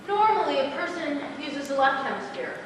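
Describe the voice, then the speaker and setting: A woman on stage playing a cool, authoritative police psychologist, explaining in a clinical, measured way. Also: sits high, expressive. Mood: clinical, explanatory. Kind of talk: live amateur stage play acting. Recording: echoey hall from far off, noisy.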